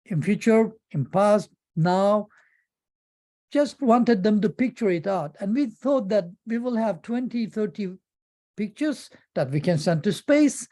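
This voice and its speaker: A woman's voice: mid-range voice, expressive, unhurried, warm tone. A woman giving an informal presentation about a project as a presenter. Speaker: conference presenter.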